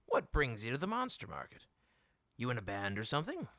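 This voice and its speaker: A man's voice: mid-range voice, huge pitch swings, fairly quick, pushed and bright, no room sound, exaggerated voice. A man voicing a whiskered, balding merchant, a brash wheedling huckster with folksy showman patter, in an audiobook. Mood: brash, sizing up. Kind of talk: audiobook character voice.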